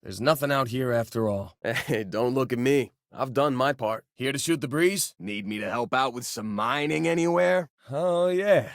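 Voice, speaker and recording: A man's voice: mid-range voice, moderate pace, few pauses, dry recording, studio quality, natural voice. An actor playing an easygoing, joking young male space miner in a video game, talking in casual, wisecracking slang. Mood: laid-back. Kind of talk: video game voice lines, conversational.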